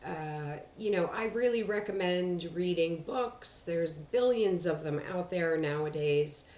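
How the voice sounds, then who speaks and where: A woman's voice: on the low side, a little slow, darkish tone. Congested woman streaming from an echoey room. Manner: rambling, many ums and throat clears. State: congested from allergies. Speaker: livestream host. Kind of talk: casual livestream chat. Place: room at home. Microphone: headphone mic across the room. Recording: echoey room, faint background noise.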